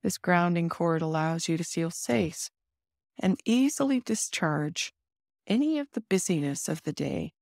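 A woman leading a guided meditation in a soothing, instructive manner with deliberate pauses. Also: on the low side, expressive, creaky, a little mellow, dry recording, studio quality.

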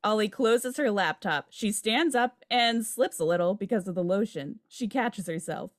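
A woman's voice: mid-range voice, normal speed, no room sound, studio quality. A woman reading out stage directions as scripted narration on a video call. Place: indoor room on a video call.

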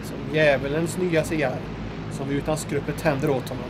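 An actor playing a wry, wordy sergeant and loyal sidekick in an audio drama, with florid phrasing. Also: male voice, on the high side, steady tone, very noisy, close to an everyday voice. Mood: dry, playful.

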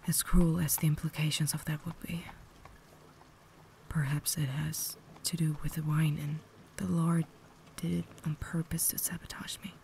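Casting: woman to play refined, tormented vampire lady for ASMR roleplay; breathy whisper, gravelly fry, caring and formal. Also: sits low, level tone, a little mellow, slight background noise. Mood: tormented, confessional. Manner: formal, measured, earnest diction.

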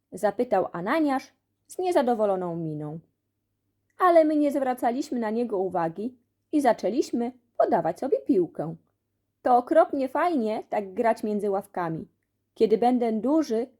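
A woman reading a children's storybook aloud as an expressive audiobook narrator. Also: on the high side. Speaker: audiobook reader.

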